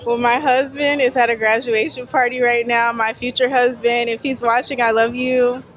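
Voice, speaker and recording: A woman's voice: on the high side, little pitch movement, normal speed. Blunt, offhand woman on the street. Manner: blunt, offhand, laid-back. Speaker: interviewee. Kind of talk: casual street interview. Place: outdoor festival.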